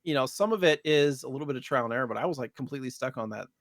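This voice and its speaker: A man's voice: mid-range voice, animated, very fast, dry recording, clean studio sound. A man co-hosting a gaming podcast, chatting casually and explaining things in an informal way. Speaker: podcast co-host.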